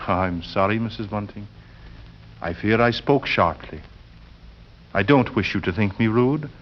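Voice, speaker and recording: A man playing a pious, weary and mysterious gentleman lodger with a sharp temper, speaking formally and deliberately in 1940s radio drama style. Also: on the low side. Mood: weary, solemn. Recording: muffled vintage 1940s radio recording.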